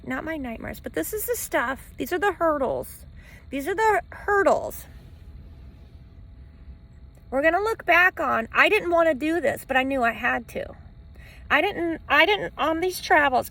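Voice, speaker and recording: High-voiced, creaky, animated woman vlogger. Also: low-quality recording. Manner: stop-and-start, rambling, repeats phrases for emphasis. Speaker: travel vlogger living in a van. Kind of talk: rambling personal vlog, talking to camera and to a dog. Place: inside a parked camper van by the ocean. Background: faint ocean wash.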